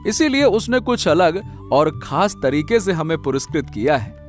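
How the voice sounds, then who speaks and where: A man acts out an honest, humble village husband for a cartoon tale. His highish voice moves in wide swings and takes its time, with earnest, hesitant turns. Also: sing-song, measured pace, soft background music. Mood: pleased, explaining. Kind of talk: Hindi cartoon story voice acting.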